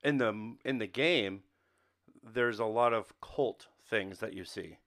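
A man's voice: fairly low pitch, slow pace, fairly bright tone. Casual man co-hosting a podcast. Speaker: podcast co-host. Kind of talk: casual film and game discussion.

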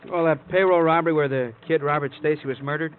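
Male actor as dry, needling police sidekick, quick clipped patter, vintage radio drama with tinny sound. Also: on the high side, fairly even intonation, close to an everyday voice. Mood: breezy, dry. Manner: clipped, casual, drily needling. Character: breezy, sardonic, talkative police detective sidekick. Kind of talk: 1950s radio crime drama acting. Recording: old radio, phone-like band, faint noise.

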